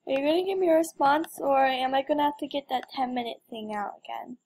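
A woman's voice: sits high, quick pace, darkish tone, a little hiss or hum. A young woman giving casual, playful game commentary with mock drama and exclamations. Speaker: gamer recording gameplay.

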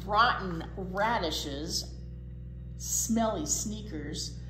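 A woman voicing a brash, boastful, hungry garbage truck in a picture book, in theatrical, punchy phrases with drawn-out words. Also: mid-range voice, over music. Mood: relishing filth. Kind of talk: picture book read-aloud. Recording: roomy echo, faint room noise.

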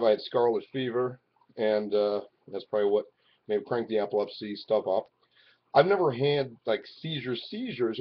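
A man in his forties talking to a webcam in his living room, rambling and swinging from loud outbursts to low mutters. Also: breathy edge. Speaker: vlogger. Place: living room at home, indoors. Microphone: webcam at arm's length. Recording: dull lo-fi webcam audio.

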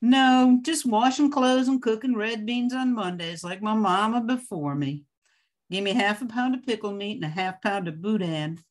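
A woman's voice: medium pitch, normal speed, close to an everyday voice. An older woman playing a polite, homespun widowed homemaker in a 1940s-style radio drama. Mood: polite, chatty. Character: genteel 55-year-old widowed New Orleans homemaker, plain-spoken. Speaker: amateur radio-play performer. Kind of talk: 1940s radio drama acting, read from script.

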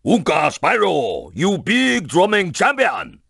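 A man voicing a friendly, excitable tiki statue in a cartoon video game, husky, speaking broken English with chanted exclamations. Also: high voice, slow, dry recording, studio quality, full character voice. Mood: excited. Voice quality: husky, gravelly.

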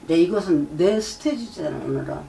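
A middle-aged woman speaks as a shaman giving a reading in a low, lecturing voice. She circles back over her points and keeps checking in with "eh?". The camera mic sits away from her, with a faint hum in the room. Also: animated, brisk, creaky, dark tone, distant mic. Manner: lecturing, repeats herself, prompts with "eh?". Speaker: shaman fortune teller. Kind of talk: fortune-telling consultation. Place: shrine room with folding screen and drum. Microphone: far camera.

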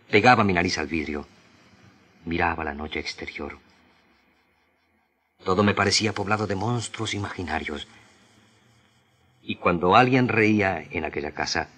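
A man with a very low, forceful voice recites literary prose like a trained radio actor. His delivery is solemn and measured, broken by deliberate pauses. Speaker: radio drama actor reading literary excerpts.

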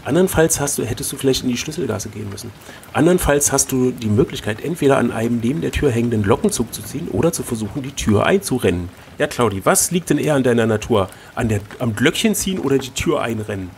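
A man reading an adventure gamebook aloud as host, switching between expressive narration and casual chat. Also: mid-range voice, fast talker, vocal fry, a little hiss or hum.

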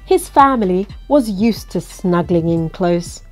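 Slow, lively woman reading a children's storybook. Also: on the low side, faint music behind. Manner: expressive, coaxing, asks the listener questions. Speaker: storybook reader. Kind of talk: children's picture-book read-aloud.

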